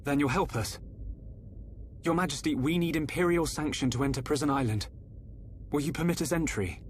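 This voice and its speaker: A man's voice: fairly high pitch, moderate pace, slight background noise, close to an everyday voice. An actor playing a resolute young male hero in a fantasy video game, speaking politely and earnestly. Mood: determined. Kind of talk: dramatic video game voice acting.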